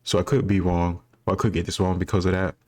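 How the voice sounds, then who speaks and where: Very low-voiced man, casual commentary. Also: little pitch movement, fast talker. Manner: informal, thinking aloud. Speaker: reaction video host. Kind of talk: casual spontaneous quiz commentary.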